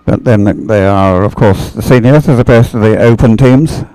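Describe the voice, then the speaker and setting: A man's voice: lowish voice, moderate pace, mellow, no echo, low-quality recording. A man giving live sports commentary on a race, observing and thinking aloud with hesitant phrasing.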